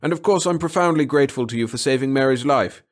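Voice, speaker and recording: A commanding middle-aged male scientist as a narrator acts him out, obsessed and formal. His speech is insistent and lifted in pitch, in the manner of a dramatic audiobook. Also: fast talker, lightly acted. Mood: urgent, formal. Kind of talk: dramatic audiobook character reading.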